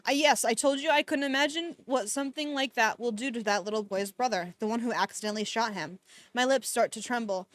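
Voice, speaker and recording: A woman reading the lines of an anxious young heroine in a soft, shaky way. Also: fairly high pitch, a little fast, dry recording, pitched below the performer's own voice, lightly acted. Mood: shaken.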